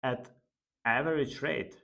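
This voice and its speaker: Slow, halting male finance instructor. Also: medium pitch, vocal fry, sharp tone. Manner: halting, deliberate delivery in short phrases. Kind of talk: explanatory lecture.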